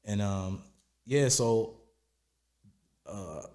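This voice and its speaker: A raspy-voiced man, a music producer and singer, telling stories in a relaxed, rambling way in a podcast interview. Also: fairly low pitch, very slow. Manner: relaxed, rambling, repeats "you know what I'm saying". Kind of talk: casual interview storytelling.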